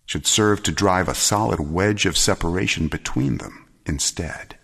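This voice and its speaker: A man giving a dramatic scripted story reading, measured and solemn, with deliberate pauses and expressive phrasing. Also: deep voice, gravelly, slightly rough. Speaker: storyteller, narrator.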